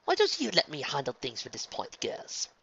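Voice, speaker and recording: A man's voice: a little high, wildly swooping pitch, normal speed, vocal fry, pushed and bright, husky, dull audio, voice pushed up, heavily acted. A man voicing a refined, bossy, theatrically gracious lady pony who coaxes with genteel endearments. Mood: gently firm. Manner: gushing, genteel, uses endearments.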